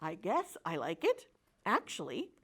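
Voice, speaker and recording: A woman's voice: lowish voice, huge pitch swings, fairly bright tone, breathy edge, pitched below the performer's own voice, heavily acted. A woman voicing a timid, hesitant young male pig. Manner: hesitant, slow and unsure.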